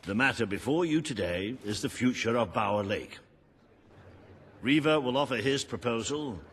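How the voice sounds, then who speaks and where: An actor playing a solemn, officious royal court crier making formal proclamations in a video game. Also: male voice, mid-range voice, animated, normal speed, a bit bright, breathy edge. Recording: echoing hall, faint noise.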